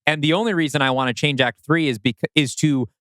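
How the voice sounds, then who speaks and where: A man's voice: on the high side, quick pace, a bit bright, no room sound, studio quality. A man co-hosting a podcast in casual creative discussion. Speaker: podcast co-host.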